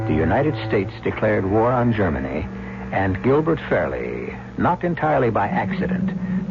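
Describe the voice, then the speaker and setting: A man narrating an old-time radio drama as a grave storyteller of doom, with a measured, theatrical delivery. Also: lowish voice, background noise. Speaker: host and narrator. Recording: dull vintage radio, music behind.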